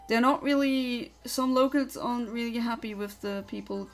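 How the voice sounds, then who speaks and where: A woman's voice: a little high, normal speed, faint music behind. Chatty young woman. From Luxembourg. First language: Luxembourgish. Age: young adult. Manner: chatty, opinionated, rambling. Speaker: livestreamer. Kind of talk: casual livestream chat.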